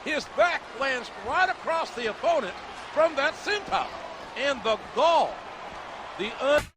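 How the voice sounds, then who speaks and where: A man playing an excitable TV wrestling play-by-play announcer in a video game. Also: very high-pitched, a little slow, background noise, full character voice. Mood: excited, urgent. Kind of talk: excited sports broadcast commentary. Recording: voice over loud background music.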